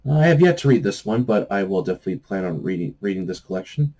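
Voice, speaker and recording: A man hosting a book review vlog in a casual, off-the-cuff way, halting and meandering as he searches for words. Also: fairly low pitch, very animated, gravelly, dark tone. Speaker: host of a book review channel.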